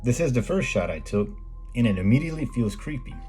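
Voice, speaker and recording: A middle-aged American man reading a scripted voice-over in a measured, plain explanatory way, as the host of a photography video. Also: mid-range voice, very animated, mellow. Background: background music.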